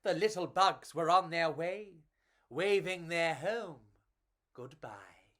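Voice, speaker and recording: A woman reads a storybook for children in a slightly low, richly varied voice. Her delivery is theatrical and playful, taking its time over each phrase. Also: animated, takes it slowly, fairly bright tone. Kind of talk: expressive storybook reading for children.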